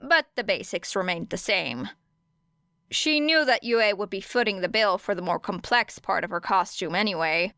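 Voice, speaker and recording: A woman's voice: mid-range voice, sharp tone, clear, resonant tone, dry recording, studio quality. A woman reading scripted story narration as an audiobook narrator. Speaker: audiobook narrator of fan fiction.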